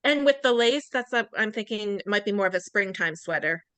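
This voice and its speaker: A woman's voice: medium pitch, lively intonation, brisk, a bit bright, dry recording. A woman joining a knitting video call, chatting casually and laughing between sentences. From Pennsylvania, USA. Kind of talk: casual chat.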